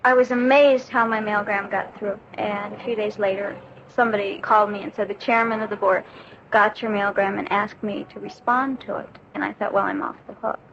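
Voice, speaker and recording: A woman's voice: medium pitch, steady tone, a little fast, fairly bright tone. A woman, an airline stewardess, giving a conversational testimonial in a 1970s radio advertisement. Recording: dull radio recording, faint noise.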